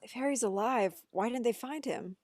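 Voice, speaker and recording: A woman's voice: on the high side, normal speed, darkish tone, no room sound, clean studio sound, natural voice. A woman playing an anxious, earnest young man searching for his father at a script table read. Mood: shaken.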